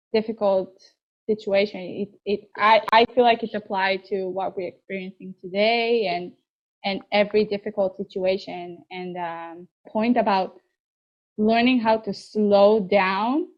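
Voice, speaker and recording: A woman with an Israeli accent hosts a podcast chat over a video call. Her first language is Hebrew, and she speaks slowly and effusively, often restarting her sentences. The call audio is dull and lo-fi. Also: medium pitch. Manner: warm, effusive, with hesitant restarts. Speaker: podcast host. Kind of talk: casual interview chat.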